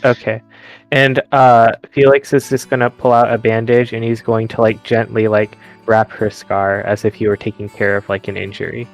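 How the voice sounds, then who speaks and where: A man's voice: fairly low pitch, flat delivery, normal speed, soft background music, rough recording. A man playing a tabletop roleplaying game, casually narrating and speaking as his character. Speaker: player.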